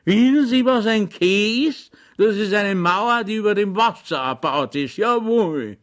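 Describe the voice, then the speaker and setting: A man reading an audiobook, voicing a pompous, rambling older army colonel who speaks loud and slow. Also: high-pitched, lively intonation, voice pushed up. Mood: pompous, blustering. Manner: loud, drawn-out, pompous, wandering off topic.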